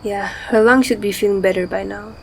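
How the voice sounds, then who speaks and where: A woman's voice: medium pitch, fairly even intonation, brisk, creaky, a little hiss or hum, lightly acted, poor audio. Woman acting serious, protective mother. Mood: serious, reassuring. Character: protective, pragmatic mother fleeing war, low and serious. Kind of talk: amateur radio drama acting. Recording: faint night insect ambience behind.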